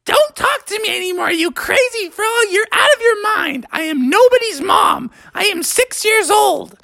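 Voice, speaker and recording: A man's voice: extremely high pitch, expressive, moderate pace, vocal fry, pushed and bright, no echo, clean studio sound, voice pushed up, full character voice. A man voicing an exasperated six-year-old girl frog in an indignant, insistent storybook voice.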